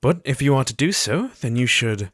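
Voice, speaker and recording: A man acting in an audio drama as a gentle, troubled Victorian doctor with courteous, formal speech. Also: mid-range voice, expressive, moderate pace, slightly rough, dry recording. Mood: grateful, earnest.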